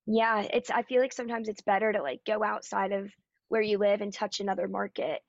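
A raspy-voiced woman talks in an easy, upbeat way, dotted with ums and likes. The sound is dull and dry, with no room around it. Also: medium pitch, level tone, brisk, no echo. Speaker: guest singer-songwriter. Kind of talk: casual interview chat. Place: Nashville area.

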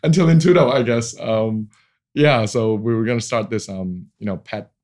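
A man in his forties from Hong Kong speaks as a guest in a casual interview. His voice sits fairly low, and he feels his way through sentences, often dropping in "you know" as he goes. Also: normal speed, a little mellow. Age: 40s. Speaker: podcast guest, venture capital founder. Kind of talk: casual interview talk about own career.

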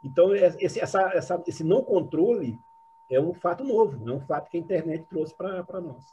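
A Brazilian man giving spontaneous academic commentary as a panelist, halting and repeating words as he searches for phrasing, over dull online call audio. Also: sits high, lively intonation, mellow, a little hiss or hum.

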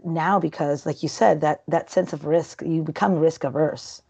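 A woman's voice: deep voice, steady tone, moderate pace, dark tone, slightly rough. A woman from Peru in her thirties, talking casually as an interview guest, chatty and rambling with many fillers and restarts.

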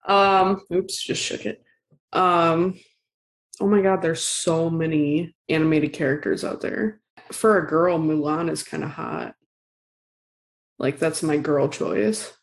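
A woman's voice: deep voice, takes it slowly, creaky. A woman chatting casually as a podcast co-host. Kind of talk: casual chat.

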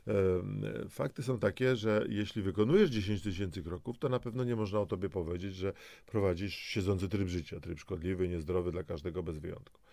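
Low, creaky-voiced man explaining things. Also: fairly quick, few pauses, mellow, no echo. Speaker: co-host of a health audio programme. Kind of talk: conversational explainer addressed to the listener.

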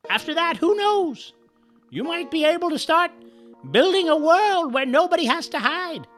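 A woman performs a coaxing female guide from an audio story. Her voice is very high and bright, with lively melody. She urges the listener on. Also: moderate pace, soft background music. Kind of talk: dramatic audiobook character dialogue.

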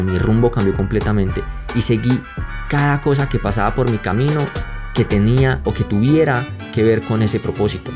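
A young man from Colombia with a Colombian accent, hosting a podcast and telling a personal story in a reflective, conversational way. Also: fairly low pitch. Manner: reflective, conversational, speaks straight to listeners. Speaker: podcast host. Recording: music playing under the voice.

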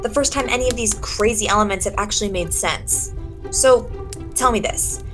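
A woman playing a sharp, accusing detective in a 1940s-style radio drama, commanding and sardonic as she interrogates. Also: medium pitch, normal speed, natural voice. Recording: dramatic music behind the voice.

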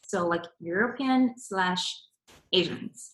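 A young woman from Singapore hosts a relaxed interview, chatting freely and circling back on her words. Her voice sits a little low. The recording is roomy and echoey. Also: slow pace. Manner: chatty, restarts often, many fillers. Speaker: podcast host. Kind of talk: casual interview chat. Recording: echoey room.

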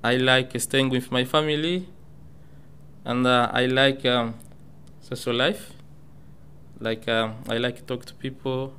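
Man, migrant English learner, halting speech with fillers, slow, clear smooth voice. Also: medium pitch, fairly even intonation, bright, forceful tone. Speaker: migrant English student on community radio. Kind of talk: personal talk.

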